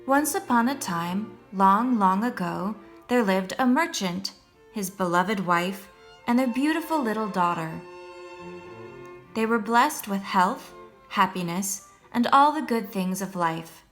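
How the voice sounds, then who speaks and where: A woman reading a children's story aloud as a storyteller, in a measured, expressive way meant for young listeners. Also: medium pitch. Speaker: storyteller, first-grade teacher. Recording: music behind the voice.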